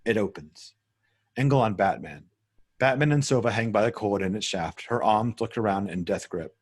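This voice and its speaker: A man with a husky, fairly low voice narrates a script read-through. He reads stage directions matter-of-factly and sometimes trips on a word. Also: lively intonation, normal speed.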